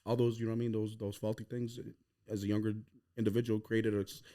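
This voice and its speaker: Man, lowish voice, quick pace, rambling host questions. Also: little pitch movement, mellow. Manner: rambling, repeats "you know what I mean". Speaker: podcast host. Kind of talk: casual interview questions.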